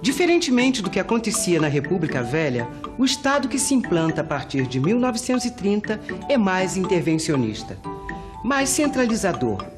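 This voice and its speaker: A woman's voice: mid-range voice, big pitch swings, moderate pace. A woman with a Brazilian accent reading a scripted educational history narration as a documentary narrator. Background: faint music.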